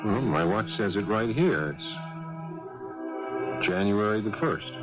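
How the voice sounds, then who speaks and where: Animated low-voiced everyman. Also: takes it slowly, over music. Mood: puzzled. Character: earnest, easygoing everyman, one of a group of friends. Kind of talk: 1980s radio drama acting. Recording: muffled old radio broadcast.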